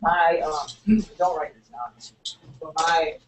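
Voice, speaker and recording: A man's voice: fairly high pitch, slow pace. A man teaching a sociology class, explaining step by step and repeating key words. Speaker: sociology instructor. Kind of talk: classroom lecture. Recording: echoey room, mic far off, faint noise.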